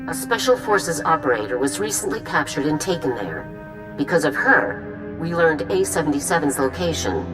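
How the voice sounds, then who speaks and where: Low-voiced, formal general. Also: female voice, sing-song, music in the background, poor audio. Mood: composed, businesslike. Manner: measured, formal briefing. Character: composed, formal female military general. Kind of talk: video game cutscene acting.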